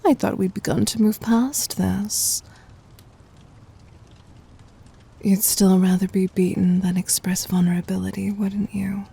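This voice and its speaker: Woman playing a demon queen, ASMR roleplay, dark mellow voice, creaky edge, imperious, possessive, tender, breathy. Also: medium pitch, fairly even intonation. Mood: teasing, amused. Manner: imperious, teasing, deliberate, often breathy near-whisper. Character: commanding, possessive, tender demon queen doting on her lover.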